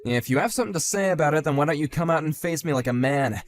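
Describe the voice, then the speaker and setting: A man voicing a calm, sardonic grown man who talks in a level, dry and cutting way, in a one-man voiced drama. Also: a little high, fast talker, dry recording, soft background music, close to an everyday voice.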